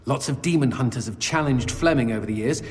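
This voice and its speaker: A man's voice: medium pitch, fast talker, close to an everyday voice. A man playing a chatty, bawdy demon sidekick in a video game, with a theatrical storyteller's flourish. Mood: fond, teasing. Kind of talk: video game character acting. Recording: long hall reverb under game music.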